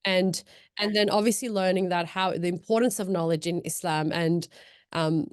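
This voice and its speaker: A woman's voice: fairly low pitch, steady tone, moderate pace, clear, resonant tone, no echo, clean studio sound. A young woman from Australia, born in Pakistan to Afghan parents, talking as a podcast guest about her faith and reading, thoughtful and rambling, with many fillers. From Afghan, born in Pakistan, raised in Australia. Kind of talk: casual reflective chat.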